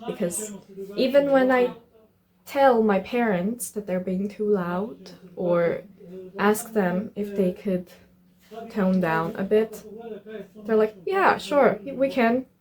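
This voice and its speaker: Young female vlogger, unhurried and informal, with a soft mellow tone and casual delivery. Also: mid-range voice. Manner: informal, swearing. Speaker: vlogger, artist. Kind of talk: casual vlog narration.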